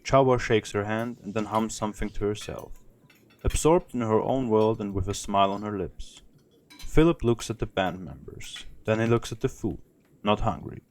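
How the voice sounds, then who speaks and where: Low-voiced male audio drama narrator. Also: normal speed.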